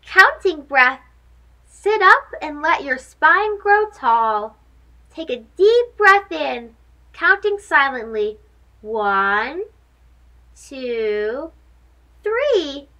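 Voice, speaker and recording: A young woman reads a picture book aloud for small children. Her voice is strikingly high and swings widely in pitch. She takes her time, with a sing-song delivery and a clear, bright edge. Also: sing-song, extremely slow, long pauses. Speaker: host of a children's read-aloud show.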